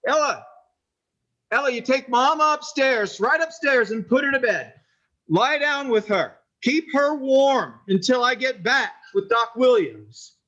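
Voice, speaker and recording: An actor plays a worried husband and devoted son in an old-time radio horror play. His voice is strikingly high and forceful, full of urgent instruction. The recording is a little dull and lo-fi. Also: animated, a little slow, full character voice. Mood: alarmed, urgent. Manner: urgent, giving firm instructions.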